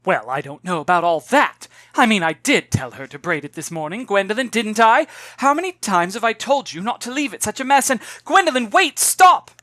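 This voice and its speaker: A man's voice: high-pitched, sing-song, moderate pace, hardly any pauses, pushed and bright, no echo, pitched above the performer's own voice, full character voice. A man voicing an embarrassed, anxious mother in an audiobook, rambling in a flustered, apologetic way. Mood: flustered, pleading. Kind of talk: audiobook character voice.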